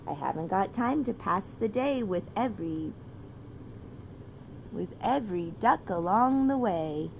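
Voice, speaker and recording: A woman voicing a big, self-important dump truck in a children's storybook, in a brisk, pompous manner. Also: medium pitch, expressive, vocal fry, a little mellow, a little hiss or hum, poor audio.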